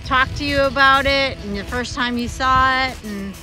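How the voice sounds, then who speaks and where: High-voiced woman vlogging outdoors. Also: moderate pace, over music. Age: middle-aged. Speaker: vlog host. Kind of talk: casual chat to camera. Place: outdoors in a pine clearing. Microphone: handheld phone at arm's length.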